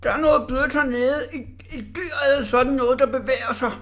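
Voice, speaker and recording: Reader putting on a hoarse, high-pitched, jittery circus man for a storybook; shift+. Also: bright, forceful tone. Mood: terrified. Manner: whimpering, panicky.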